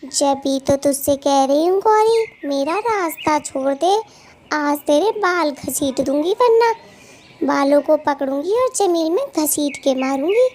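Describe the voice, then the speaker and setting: A woman's voice: sits high, animated, measured pace, a little mellow. A woman voicing a quarrelsome, hot-tempered female cartoon bird with an emphatic, taunting, scolding way of talking. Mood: furious, threatening. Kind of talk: animated cartoon dialogue.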